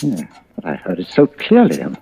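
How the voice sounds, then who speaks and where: Husky, mellow, hesitant gentleman. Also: low-pitched, wildly swooping pitch, normal speed, heavily acted. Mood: puzzled, uneasy. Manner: hesitant, polite, apologetic. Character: mild, hesitant, puzzled male real estate agent. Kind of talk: old-time radio suspense acting. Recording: dull vintage radio drama recording.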